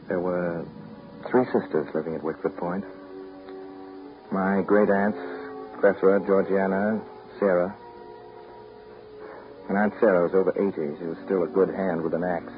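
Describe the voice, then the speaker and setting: An actor playing a reserved, brooding man in a 1930s radio drama, speaking in a measured, reflective way. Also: sits low, steady tone, faint music behind. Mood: brooding reminiscence. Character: reserved, brooding New England man telling family memories. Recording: muffled, hissy 1930s radio recording.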